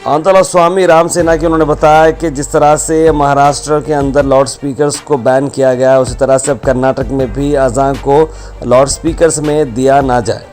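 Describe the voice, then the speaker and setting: A man's voice: fairly high pitch, darkish tone. A man reading a scripted news report as a reporter. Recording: voice-over with music behind. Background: background music.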